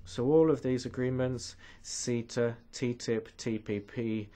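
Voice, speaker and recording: A man's voice: medium pitch, fairly even intonation, a little slow, mellow. A man from Canada giving an earnest, urgent talk against trade treaties at a conference. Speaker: conference speaker.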